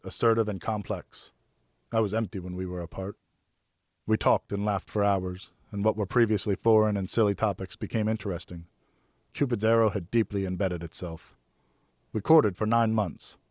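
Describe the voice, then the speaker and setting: A man's voice: lowish voice, no room sound, studio quality. A man narrating a novel in the first person as a professional audiobook reader.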